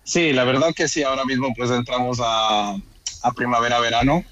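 A man's voice: medium pitch, steady tone, a little fast, pushed and bright, muffled recording. Friendly man from Ecuador on a video call. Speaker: guest, bar owner. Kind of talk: casual interview over video call.

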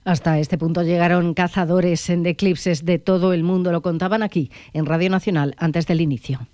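A man's voice: on the high side, fairly even intonation, normal speed, darkish tone, no room sound. A man with an accent from Spain presenting a scripted regional radio news bulletin in a studio.

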